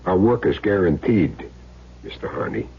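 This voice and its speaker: An actor playing a cool, wisecracking hired killer in a radio drama, dry and glib. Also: male voice, low voice, expressive, moderate pace, slightly rough, heavily acted. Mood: cool, businesslike. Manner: dry, glib, evasive answers. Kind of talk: 1970s radio drama acting. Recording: dull vintage radio broadcast.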